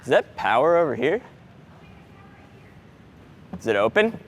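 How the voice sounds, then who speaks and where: A man's voice: a little high, sing-song, measured pace. A man working as an audio technician, talking casually as he sets up equipment in a school gymnasium. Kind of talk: casual talk while working. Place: school gymnasium during event setup. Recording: noisy on-location audio, clear background noise.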